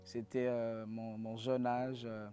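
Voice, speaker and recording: Young Congolese pastor giving testimony. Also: male voice, medium pitch, flat delivery, slow, dark tone, faint music behind. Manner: earnest, preacherly, with hesitant restarts. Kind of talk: personal testimony talk.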